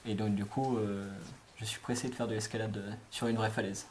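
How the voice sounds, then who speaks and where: A man's voice: lowish voice, flat delivery, a little fast, a little mellow, slight background noise. Hesitant young man in his early twenties. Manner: hesitant, many filler sounds, friendly. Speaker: camp counselor being interviewed. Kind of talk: casual interview answers.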